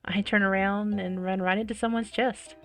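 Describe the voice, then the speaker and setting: A woman plays through a visual novel and reads it aloud. Her delivery is expressive and dramatic, breaking into her own reactions, with faint game music behind her. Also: mid-range voice, moderate pace. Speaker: video game let's-player.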